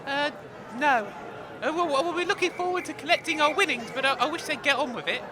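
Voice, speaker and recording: A man's voice: very high-pitched, a little fast. A man playing a daft, scolding working-class woman at a comedy sports event, fussy and indignant. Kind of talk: comedy sketch acting. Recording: outdoor crowd noise.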